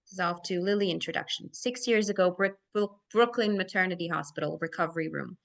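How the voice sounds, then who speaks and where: A woman's voice: lowish voice, quick pace, creaky. A woman reading stage directions aloud as narrator at a screenplay table read.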